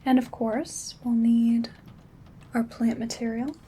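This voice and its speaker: Slow, hushed woman as a gentle witch. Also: on the high side, level tone. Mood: calm, caring. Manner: soothing, unhurried, intimate. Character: gentle, caring female witch herbalist. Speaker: ASMR performer. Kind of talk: ASMR roleplay, soft-spoken and whispered. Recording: soft rain and handling noise. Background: rain sounds, craft rustling.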